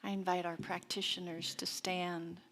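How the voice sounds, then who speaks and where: A woman minister giving a sermon and guided prayer in a deliberate, reverent manner with long pauses. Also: on the low side, flat delivery, fairly bright tone. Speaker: minister leading a service. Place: church service.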